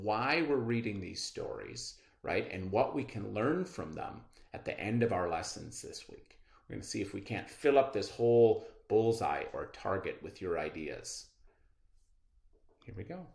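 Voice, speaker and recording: A man leading a read-aloud lesson for children. His delivery is unhurried and extremely expressive, with rhetorical questions to keep listeners thinking. The room adds a clear echo. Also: medium pitch. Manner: expressive, instructive, asks rhetorical questions with check-ins. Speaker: elementary school teacher. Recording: echoey room sound.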